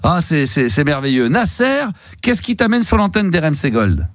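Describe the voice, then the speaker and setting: A man hosting a radio call-in show in a dry studio, his voice set a little higher than most men's. He talks in a lively, bouncing way, full of teasing and deliberate mishearings. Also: no echo. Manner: teasing, mishears on purpose, playful interruptions. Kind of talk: joking call-in banter. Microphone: studio microphone.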